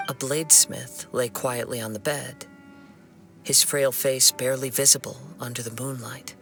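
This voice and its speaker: A man playing a calm young wandering swordsman in a video game, speaking in a measured, formal, solemn and compassionate way. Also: medium pitch, soft background music. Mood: reflective narrating.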